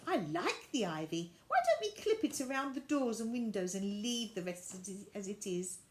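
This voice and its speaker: A woman voicing a kindly, practical motherly figure in a storybook, gentle and encouraging. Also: mid-range voice, very animated, moderate pace, natural voice. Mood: pleased.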